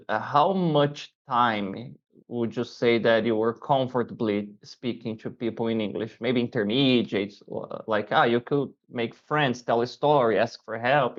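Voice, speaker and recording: A male podcast host with a mid-range voice, asking questions in a relaxed, halting way with lots of fillers. The audio is dull and lo-fi, like an online call. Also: mid-range voice. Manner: halting, many fillers and restarts. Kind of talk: casual interview chat.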